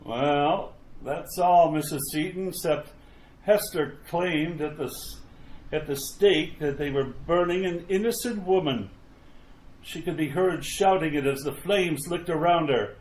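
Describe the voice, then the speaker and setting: Guy doing a uneasy folksy graveyard caretaker, slow stammery talk, old radio play style, bit of noise. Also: fairly high pitch, darkish tone, close to an everyday voice. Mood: grim storytelling. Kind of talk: retro radio mystery acting. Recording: roomy echo, noticeable background noise.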